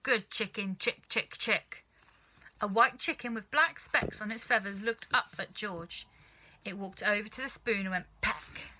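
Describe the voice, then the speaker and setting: A woman reading a children's story aloud in an expressive, deliberate narrating style. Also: medium pitch, low-quality recording. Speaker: storybook reader.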